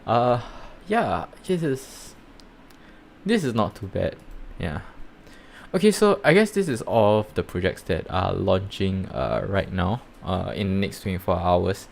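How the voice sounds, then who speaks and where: A man with a Singaporean accent giving rambling, halting commentary while live-streaming reviews of projects. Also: deep voice, expressive, creaky, a little hiss or hum. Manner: rambling, halting, many fillers and restarts.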